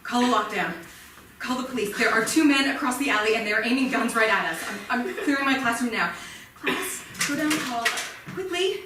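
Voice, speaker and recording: An actress playing a conscientious, protective schoolteacher, giving classroom instructions in a staged reading. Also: female voice, a little high, animated, fairly quick, sharp tone. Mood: alarmed, controlled. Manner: instructive, addressing children. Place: theatre stage before the mic at a distance. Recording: echoey theatre, distant mic, faint noise.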